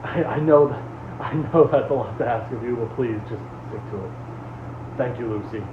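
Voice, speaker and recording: A man acting a weary, patient community leader in a horror series, earnest and halting as he gently reprimands. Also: mid-range voice, natural voice. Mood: earnest, firm. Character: weary, patient male leader of an underground refuge. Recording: tinny, echoey, noisy lo-fi audio.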